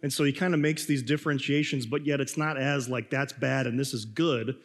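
A man preaches in a relaxed, rambling way, his voice hoarse and a little raspy from illness. His pitch sits at an ordinary middle level. The sound carries a long reverb, as in a church hall. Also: fairly quick. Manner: conversational, rambling with restarts. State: hoarse, coughing. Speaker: pastor. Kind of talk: sermon.

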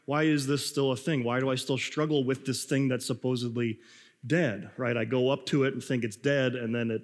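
Guy preaching with a raspy sore throat, chatty and rambling, long echo in the room. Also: medium pitch. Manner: conversational, rambling with restarts. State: hoarse, coughing. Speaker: pastor. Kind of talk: sermon.

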